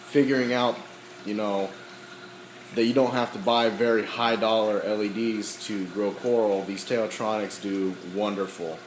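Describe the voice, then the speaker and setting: A man walking through his aquarium equipment in a casual, halting way, with many ums and frequent throat clearing, his voice slightly hoarse. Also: on the low side, roomy sound, slight background noise, low-quality recording. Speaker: aquarium hobbyist.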